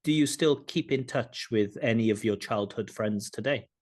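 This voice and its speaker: A man working as a speaking examiner, asking scripted questions in a measured, formal way over a video call. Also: mid-range voice, darkish tone.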